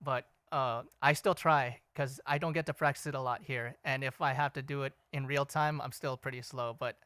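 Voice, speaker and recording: A man chatting casually as a podcast guest, agreeable and quick to back up what others say. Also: medium pitch, fairly even intonation, pushed and bright, clean tone, no room sound, clean studio sound. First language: English. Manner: agreeable, frequent quick affirmations. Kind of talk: casual chat.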